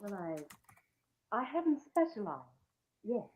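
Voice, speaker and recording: A woman's voice: mid-range voice, very animated, measured pace, breathy edge. A woman acting a demure, eager young newspaper secretary in vintage radio-drama style. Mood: polite, eager. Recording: dull, faintly noisy old radio recording.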